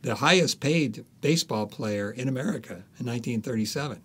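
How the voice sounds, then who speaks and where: A man speaking as an interviewed author, telling anecdotes conversationally and pausing to find his words. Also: mid-range voice, lively intonation, fairly bright tone, slightly rough.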